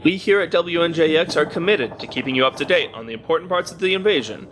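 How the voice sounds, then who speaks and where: An actor playing an earnest local radio news host delivering broadcast patter in an audio drama. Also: male voice, on the high side, expressive, fast, natural voice. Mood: upbeat, official. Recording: clear background noise, ambient sound.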